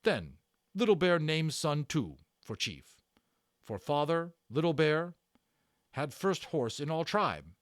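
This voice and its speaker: A man acts out a proud and stern storybook warrior chief. He speaks in weighty, clipped broken sentences with big swings of pitch, bitter yet honorable. The sound is close and dry. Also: big pitch swings, slow, dry recording. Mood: solemn. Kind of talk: dramatic storybook character reading.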